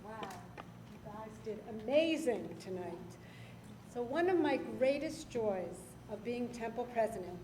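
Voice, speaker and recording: A woman speaking as temple president, congratulating a confirmation class at a service. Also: on the high side. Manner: warm congratulatory address. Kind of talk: speech at a service. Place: synagogue sanctuary. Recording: echoey sanctuary with faint noise.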